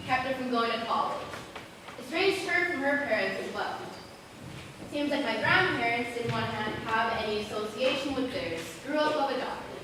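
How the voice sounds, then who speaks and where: A woman's voice: fairly high pitch, recorded from a distance, background noise. A woman playing a guarded, defiant, neglected teenage schoolgirl in an amateur stage drama, recorded on an echoey stage. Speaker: actor in a school play.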